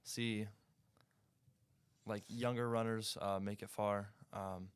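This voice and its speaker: A teenage boy runner speaking in a measured, hesitant way with frequent ums. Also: lowish voice, level tone, clear, resonant tone, no room sound. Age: teens. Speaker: high school runner.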